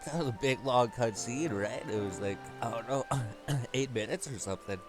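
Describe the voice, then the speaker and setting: A man's voice: medium pitch, moderate pace. A man giving casual, rambling game commentary as a video host, with music behind him. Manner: rambling, repeats himself, often clears throat.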